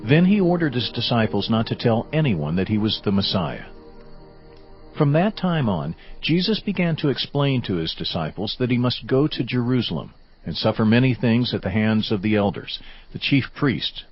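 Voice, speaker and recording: A man's voice: fairly low pitch, sing-song, normal speed, dull audio, soft background music. A man giving scripted narration for a dramatized audio Bible.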